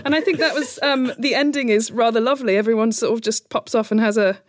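A woman's voice: fairly high pitch, steady tone, fast, dry recording, clean studio sound. A woman in a casual panel chat, speaking in a wry, jokey way. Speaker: interview panellist.

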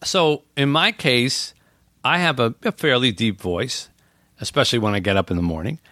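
A man's voice: mid-range voice, normal speed, bright, forceful tone, no room sound, clean studio sound. A middle-aged man, a podcast guest, talking in an earnest, thoughtful way with frequent "you know" fillers. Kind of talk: reflective casual conversation.

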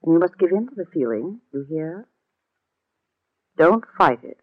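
A woman's voice: on the low side, big pitch swings, measured pace. A woman playing a bitter, scheming, jealous sister in a theatrical, cutting old-time radio drama style. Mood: coaxing, commanding. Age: adult. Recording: dull lo-fi old radio recording.

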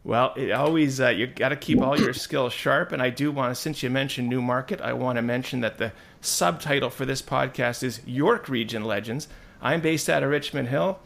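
A man's voice: mid-range voice, normal speed, vocal fry, fairly bright tone. A man hosting a podcast interview, asking friendly prepared questions. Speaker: podcast host. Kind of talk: interview host.

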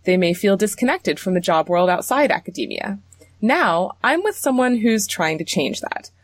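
A young woman with a mid-range voice opens the show with a clear, steady scripted introduction and then puts questions to her guest. The recording is close and dry. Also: medium pitch, moderate pace, no room sound. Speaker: podcast host and interviewer.

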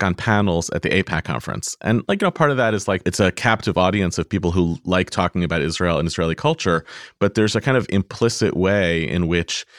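Male interviewer, very deep voice, dry close sound, thoughtful and laughing. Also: fairly bright tone, dry recording. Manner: thoughtful, laughs often, stumbles into questions. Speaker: interview host. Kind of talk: conversational interview.

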